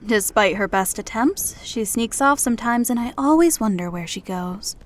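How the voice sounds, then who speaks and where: A woman playing a wry, affectionate elf in an audio drama, speaking in a measured, dryly teasing way. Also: on the high side, expressive, close to an everyday voice. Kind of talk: audio drama character acting.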